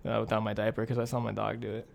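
A man's voice: lowish voice, monotone, very fast, creaky, a little mellow, slight background noise. A young American man co-hosting a podcast, chatting casually in slangy, informal, bro-heavy language. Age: young adult. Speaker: podcast co-host.

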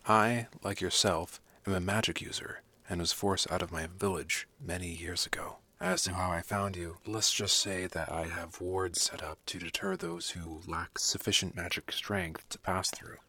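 A woman voicing a kind older male wizard who speaks softly and calmly, sometimes close to a whisper. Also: a bass voice, voice pushed down, exaggerated voice. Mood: gentle. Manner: soft, slow and gentle, often near a whisper. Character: kind old male wizard, calm and protective.

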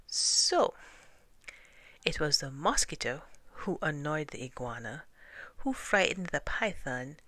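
Woman as storybook lion king, stern, declamatory, forceful, widely swinging pitch, close dry sound. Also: animated, a little slow, dry recording, full character voice. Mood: pronouncing judgment. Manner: declamatory, cumulative repetition. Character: commanding lion king, stern judge of the animals. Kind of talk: animated folktale storytelling.

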